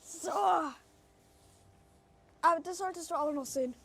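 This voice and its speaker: High-voiced woman, brief replies. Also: unhurried, vocal fry. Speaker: companion on a walk.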